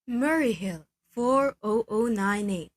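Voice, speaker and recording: A woman's voice: a little high, lively intonation, slow. A woman playing a polite, detached telephone switchboard operator in an amateur radio play, speaking in set phrases.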